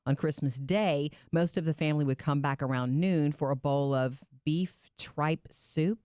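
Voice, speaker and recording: A woman co-hosting a podcast, chatting casually, laughing easily and reading listener letters aloud in a warm, reflective way. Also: sits low, unhurried, smooth, no room sound, studio quality. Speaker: podcast co-host.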